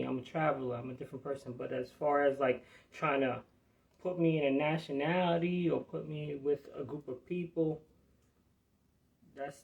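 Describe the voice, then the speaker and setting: A man's voice: on the high side, creaky, darkish tone. Profane streetwise man hosting a live podcast. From New Jersey, US. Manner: profane, rambling, streetwise talk. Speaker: podcast host. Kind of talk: casual live podcast chat.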